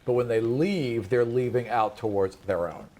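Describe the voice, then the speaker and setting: A man runs a tabletop roleplaying game in a conversational voice of average pitch. He improvises his narration and explains the setting plainly, often doubling back to rephrase. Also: normal speed, mellow. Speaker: game master.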